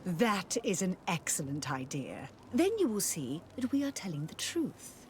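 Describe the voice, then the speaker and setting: A woman's voice: fairly low pitch, very animated, unhurried, a little hiss or hum. A woman playing a stern, sanctimonious missionary in a video game, haughty and preachy in delivery. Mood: smug. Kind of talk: video game cutscene acting.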